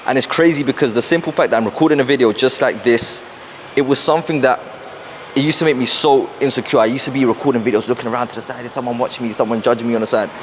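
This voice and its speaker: A young man with a London accent, talking fast and rambling to camera in a casual motivational vlog, wearing a clip-on mic in a gym. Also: mid-range voice, steady tone, a little hiss or hum. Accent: London English. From South London, England. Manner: fast, rambling, repeats himself. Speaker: fitness and mindset coach. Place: busy gym.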